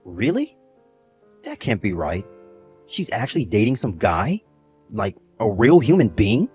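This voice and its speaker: A man playing a casual, easily astonished gossip with a husky, rough voice in a dramatized story. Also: fairly low pitch, huge pitch swings, a little slow, a little mellow, soft background music, full character voice. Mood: disbelief. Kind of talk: dramatized text-message story voice acting.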